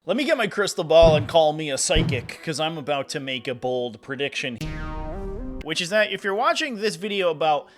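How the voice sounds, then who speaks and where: A young man with a hoarse voice from a cold, hosting and commenting on tabletop game tournaments in an upbeat, chatty way. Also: a little high, expressive, brisk, music in the background. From New England, US. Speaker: host.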